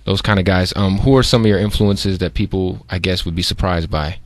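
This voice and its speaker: A man hosting an interview in a casual chat, with halting questions full of fillers. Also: sits low, fairly even intonation, no room sound. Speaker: interview host.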